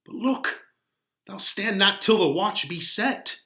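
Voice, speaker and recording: A man's voice: sits high, lively intonation, slow, bright, forceful tone, pitched above the performer's own voice, heavily acted. A man voicing an exasperated older friar who scolds and counsels in declamatory Shakespearean verse. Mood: exasperated, scolding. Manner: emphatic, declamatory, archaic verse. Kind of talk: dramatic reading of a Shakespeare play.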